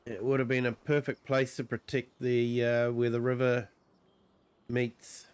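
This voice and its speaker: A man with a New Zealand accent and a croaky voice from a cold, giving easygoing livestream commentary on a farming game, full of ums and uhs. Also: medium pitch, flat delivery, measured pace, a little mellow, no room sound. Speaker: streamer. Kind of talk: relaxed livestream gameplay commentary.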